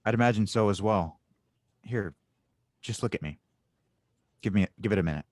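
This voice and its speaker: A man acts out an older male inventor with a low voice and a close, dry sound. He is genial and a little wistful, talking in an easy, unhurried conversational way, as in an audio drama. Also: darkish tone, dry recording, lightly acted. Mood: friendly, wistful. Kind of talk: audio drama table read.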